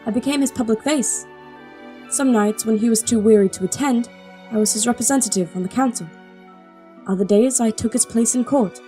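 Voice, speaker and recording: A woman's voice: fairly high pitch, a little fast, mellow, soft background music, natural voice. A woman playing an earnest, devoted young princess in an audio drama, speaking articulately. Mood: wistful, reflective. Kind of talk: audio drama acting with first-person narration.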